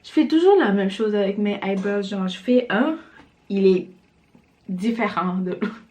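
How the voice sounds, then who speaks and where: A young woman talks loosely and casually to her camera while putting on makeup. Her chat is relaxed and rambling, sprinkled with fillers, in a middle-pitched voice. She sounds like she is in a room at home. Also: measured pace. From Senegal. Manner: chatty, loose, full of fillers like "genre". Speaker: vlogger.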